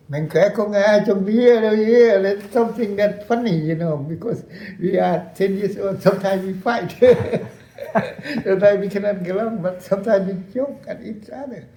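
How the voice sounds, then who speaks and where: Older Lao-accented man, gravelly and echoey. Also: high voice, warm tone. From Laos. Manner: halting, repeats phrases, laughs often. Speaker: interview guest, veteran colonel. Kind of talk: recounting war memories.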